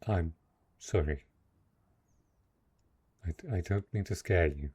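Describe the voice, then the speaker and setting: A man playing a lonely, possessive dark knight in a fantasy romance audio roleplay, speaking in hesitant, earnest, formal phrases with a low, husky voice. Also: takes it slowly, exaggerated voice. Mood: apologetic, uneasy.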